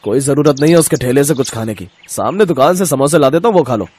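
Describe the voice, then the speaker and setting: A man's voice: medium pitch, lively intonation, quick pace, a little mellow, breathy edge, no echo. A man playing a jealous, greedy shopkeeper brother-in-law in a moral story cartoon, grumbling and justifying himself. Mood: irritated.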